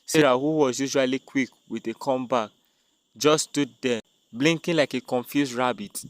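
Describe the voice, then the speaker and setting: A man's voice: medium pitch, normal speed, no room sound. A man narrating a dramatic scripted tale as a storyteller.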